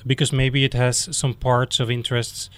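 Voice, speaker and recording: A man's voice: medium pitch, monotone, moderate pace, a bit bright, clear, resonant tone. Reflective man from the Netherlands. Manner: reflective, hesitant restarts. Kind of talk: casual interview chat.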